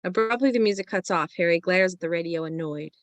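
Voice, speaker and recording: A woman's voice: lowish voice, quick pace, no echo. A woman narrating the stage directions of a screenplay in a scripted reading.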